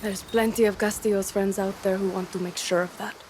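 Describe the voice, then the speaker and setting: A woman's voice: medium pitch, fairly even intonation, fairly quick, a little mellow, slight background noise, lightly acted. An actress playing a tough, fiercely loyal young female guerrilla fighter in a video game cinematic. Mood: resolute, grave. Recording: music behind the voice.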